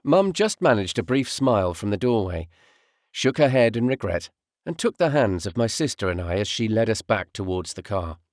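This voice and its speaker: A man reading a first-person literary audiobook in a measured, reflective way. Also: fairly low pitch, sing-song, darkish tone, no echo, clean studio sound. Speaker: audiobook narrator, voice artist.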